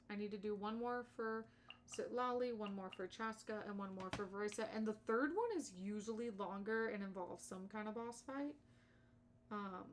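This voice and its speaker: A woman livestreaming games in casual, slangy chat, chatty and opinionated as she talks to viewers. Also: mid-range voice. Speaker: streamer, content creator.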